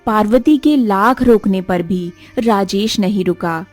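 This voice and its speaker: A woman's voice: mid-range voice, measured pace, darkish tone, dry recording, soft background music. A woman narrating a scripted story as the storyteller of a cartoon tale.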